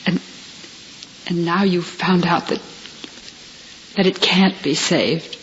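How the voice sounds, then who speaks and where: A woman's voice: on the low side, steady tone, slow pace. Young blinded woman, reverberant old radio. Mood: fearful. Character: young woman patient blinded in an accident, proud, anguished. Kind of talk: 1950s radio drama acting. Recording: lo-fi 1950s radio recording, reverberant, hissy, clicks.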